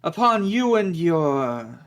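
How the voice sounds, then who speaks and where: A man's voice: fairly high pitch, big pitch swings, slow pace. A man voicing a pompous royal page who proclaims a summons at a table read. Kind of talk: table read of a film script.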